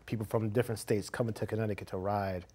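A man's voice: on the low side, fairly even intonation, fast talker, warm tone. A middle-aged man speaking earnestly as an interview guest in a small studio. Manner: earnest, heartfelt. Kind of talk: casual interview chat. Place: small TV studio with curtain backdrop.

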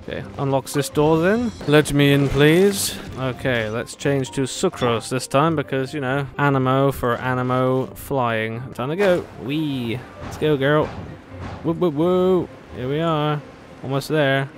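A man giving casual, joking let's-play commentary, playful and quippy with sing-song asides. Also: medium pitch. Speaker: video game let's-player. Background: game music.

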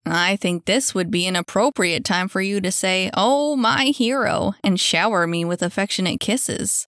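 A woman's voice: mid-range voice, normal speed, fairly bright tone, no room sound, clean studio sound, close to an everyday voice. Smug young boy, played by a woman reader, mischievous and cocky, clipped slangy storybook quips. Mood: smug teasing.

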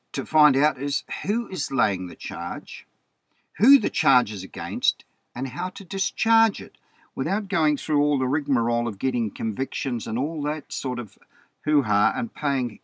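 A man's voice: mid-range voice, fairly bright tone, dry recording. A man explaining legal terms over a slideshow, in a plain teaching manner with frequent okays and repeated words. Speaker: presenter.

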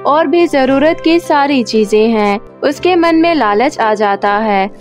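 A woman's voice: high voice, expressive, takes it slowly. A woman narrating a scripted story as a storyteller. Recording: music under the voice.

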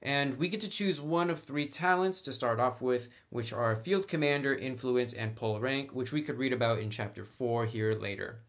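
A man's voice: fairly high pitch, a bit bright. A man hosting a tabletop game tutorial, explaining casually and thinking aloud as he goes. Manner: thinks aloud while writing on a sheet. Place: indoors at a table.

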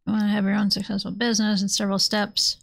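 A woman's voice: mid-range voice, completely flat delivery, fast talker, vocal fry. A woman streamer chatting casually with viewers while she plays, rambling and self-deprecating. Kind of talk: casual chat while gaming.

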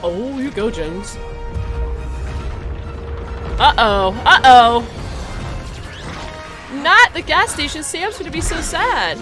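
A young woman streaming a game, chatting casually and excitably with her viewers through a headset, her voice slightly hoarse. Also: high voice, takes it slowly, pauses often, soft background music. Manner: chatty, excitable, talks to chat. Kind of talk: casual live-stream chat.

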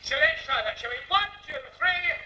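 A man's voice: very high voice, animated, moderate pace, sharp tone, soft background music, exaggerated voice. A man playing a brash, saucy older woman, a pantomime dame, with cheeky, declamatory patter that bosses and coaxes the audience. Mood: saucy, teasing. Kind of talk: theatrical music-hall pantomime patter to an audience. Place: theatre stage with painted scenery. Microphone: stand microphone, picked up from the auditorium. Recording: echoey theatre, distant old videotape sound.

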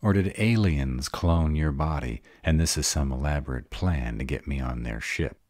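Man playing a very deep-voiced sarcastic vampire. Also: normal speed, a little mellow, no room sound, clean studio sound. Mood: sarcastic, incredulous. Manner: sarcastic, wry. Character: sarcastic grown male vampire, guarded, insecure, starved of love. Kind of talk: audio roleplay acting.

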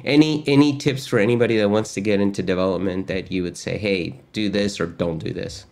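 A man's voice: lowish voice, brisk, darkish tone. A man in his fifties from Argentina, hosting an online lesson and interview in an upbeat, chatty way with frequent filler words, recorded at a desk in a home studio. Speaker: host. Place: home studio with gaming chair.

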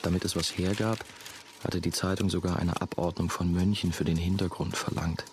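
An actor playing a brooding monastery novice who narrates his own story in a measured, musing, dry way. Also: low-pitched, level tone, noisy background, lightly acted. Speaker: narrator and main character. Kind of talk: first-person radio play narration.

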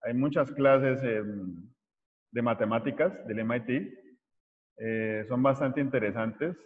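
A man, giving an informal online lecture as a mathematics teacher, haltingly searching for words. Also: medium pitch. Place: small indoor room, video call. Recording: muffled, echoey room over video call.

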